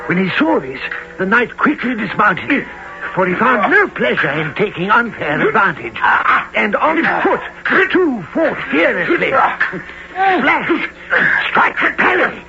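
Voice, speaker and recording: An actor playing an old wizard who narrates a knightly adventure in a grave, dramatic, drawn-out radio drama style. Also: male voice, extremely high pitch, big pitch swings, full character voice. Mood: dramatic narrating. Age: older. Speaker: narrator and character. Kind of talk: theatrical old-time radio drama storytelling. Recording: dull radio broadcast, loud music.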